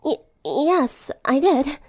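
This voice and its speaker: A woman's voice: high voice, very animated, slow, a little mellow, pitched above the performer's own voice, exaggerated voice. A woman voicing a shy, bookish, awkward teenage girl in a cartoon story, hesitant and earnest. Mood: flustered.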